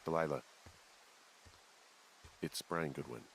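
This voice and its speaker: An American actor playing a weary, uneasy fire lookout in a video game, speaking plainly in halting, muttered conversation. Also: male voice, rumbling low, creaky, husky, full character voice, low-quality recording. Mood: somber, anxious. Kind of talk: naturalistic game dialogue.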